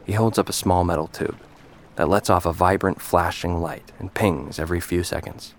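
A man's voice: deep voice, moderate pace, a little hiss or hum. A man reading scripted narration for an audio drama.

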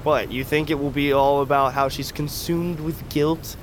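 Man playing bitter, high-voiced young exiled prince. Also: fairly even intonation, normal speed, slight background noise, natural voice. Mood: bitter, mocking. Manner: sardonic, wry. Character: bitter, sardonic young exiled brother of royal blood. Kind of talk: audio fiction drama acting.

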